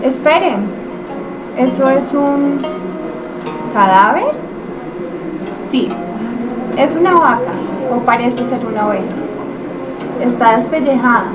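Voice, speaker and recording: Woman playing marvelling female time traveller, very high voice, radio drama, distant echoey sound, loud music. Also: lively intonation, slow pace, long pauses. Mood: enchanted, curious. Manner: marvelling, descriptive. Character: enchanted female time traveller observing an ancient village. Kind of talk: student radio drama narration.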